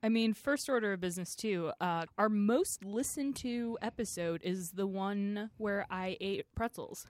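A woman co-hosting a casual tech podcast. She makes wry, deadpan jokes. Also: medium pitch, a little slow, hardly any pauses. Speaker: podcast co-host, senior producer. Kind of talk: casual chat.

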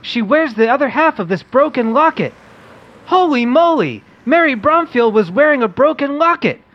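An adult playing an excitable, wholesome boy who hosts a radio quiz, read in the manner of an old comic-book radio play. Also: sits high, normal speed, dull audio. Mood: eager.

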